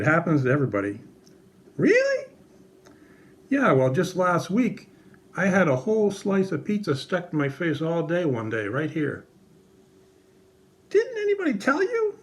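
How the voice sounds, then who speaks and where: A man presenting a casual Bible lesson for children in a puppet show, explaining things simply and conversationally. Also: fairly high pitch.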